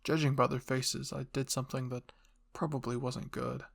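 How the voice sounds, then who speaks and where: A man voicing the anxious, curious inner thoughts of a young woman in a fan fiction audio drama, softly and wonderingly. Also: mid-range voice, little pitch movement, moderate pace, gravelly, husky.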